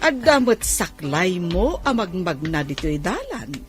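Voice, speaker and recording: A woman's voice: lowish voice, very animated, measured pace, soft background music. A woman acting in a radio comedy drama as a fretful, protective older mother figure. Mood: worried, fussing.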